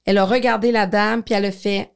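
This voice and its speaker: A woman's voice: mid-range voice, steady tone, quick pace, gravelly, clear, resonant tone. A woman with a Quebec French accent hosting a conversational interview and sharing anecdotes. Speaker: interview host.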